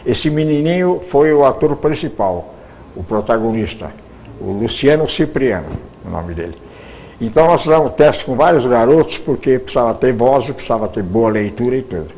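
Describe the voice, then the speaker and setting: An older man, a retired radio producer, reminiscing in an interview, with halting speech full of repeated words and restarts. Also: medium pitch, animated, darkish tone, slight background noise, low-quality recording.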